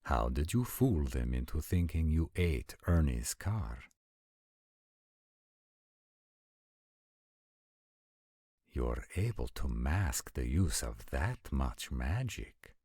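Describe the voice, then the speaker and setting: A man playing an ancient supernatural warrior in a roleplay audio drama, speaking formally and deliberately with concerned, protective gravity. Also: extremely low pitch, big pitch swings, warm tone, husky, no echo, studio quality, heavily acted. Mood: admiring, earnest. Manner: formal, measured, stilted diction with deliberate pauses. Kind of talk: scripted roleplay audio acting.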